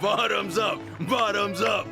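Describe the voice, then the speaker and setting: A man's voice: high-pitched, steady tone, unhurried, creaky, over music. A man voicing a chummy, rowdy drinking buddy in a video game, slangy and familiar.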